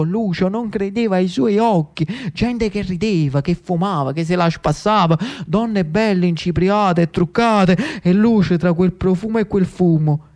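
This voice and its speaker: A man with a Neapolitan accent narrating a historical monologue in a dramatic, expressive storytelling manner. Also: high-pitched. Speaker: actor and storyteller.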